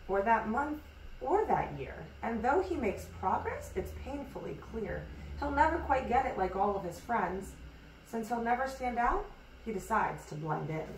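A woman reading a rhyming children's picture book aloud in an expressive, measured storytelling way. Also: mid-range voice, gravelly. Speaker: storybook reader. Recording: echoey room, distant mic, hissy noise.

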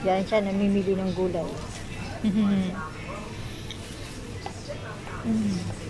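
A woman's voice: mid-range voice, level tone, a little slow, very noisy. A woman narrating a casual vlog on her phone while she shops in a supermarket, chatty and laughing often. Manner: chatty, mixes English and Filipino, laughs often. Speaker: vlogger. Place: large indoor supermarket. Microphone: phone camera held while walking.

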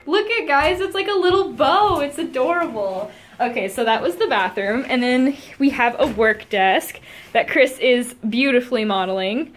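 Young woman vlogger, high-pitched and excitable, recorded on a handheld phone. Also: normal speed, slight background noise. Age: young adult. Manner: bubbly, giggly, excitable. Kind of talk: casual vlog chat. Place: car, then small hotel room. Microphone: phone held at arm's length.

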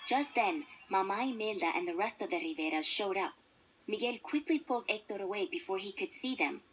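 A woman's voice: medium pitch, animated, a little fast, fairly bright tone, husky. Woman narrating a storybook over music. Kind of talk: scripted children's story narration. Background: instrumental music.

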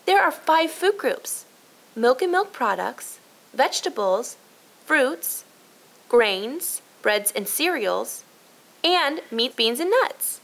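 Chirpy big-sister girl for a storybook played by a woman, high and very animated. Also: slow, creaky, heavily acted. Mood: proudly knowing. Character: eager, bright young girl, helpful big sister.

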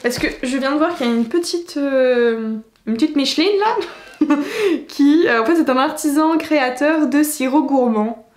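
A young woman chatting casually to camera as a book vlogger, playful and expressive, with a slight head cold. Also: high-pitched, measured pace. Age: young adult. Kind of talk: casual unboxing chat to camera. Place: small indoor room with bookshelves. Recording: roomy echo, faint background noise.

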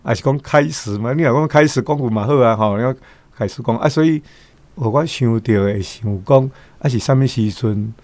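A man's voice: medium pitch, fairly quick, a little mellow, no echo. Older Taiwanese man, rambling, dry sound. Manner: rambling, frequent tag particles. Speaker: guest commentator. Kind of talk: casual spoken commentary.

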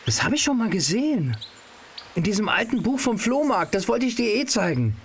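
Male actor as insistent teen boy for radio play, high animated voice, casual, over faint insect ambience. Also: normal speed, a little hiss or hum. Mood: eager. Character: eager, insistent teenage boy.

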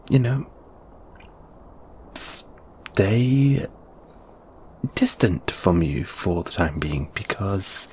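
A man voicing a huffy, easily flustered male lamia flatmate in an intimate audio roleplay, halting and defensive. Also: on the low side, a little hiss or hum. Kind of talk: intimate one-sided audio roleplay acting.

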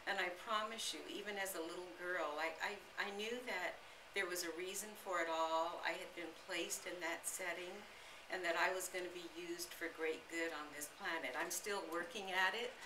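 An American woman aged sixty giving an earnest, deliberate autobiographical talk to a gathering as a guest speaker. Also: on the low side, steady tone. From United States. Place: indoor living-room-style salon. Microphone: camera a few metres away. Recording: roomy, noisy recording from a distance.

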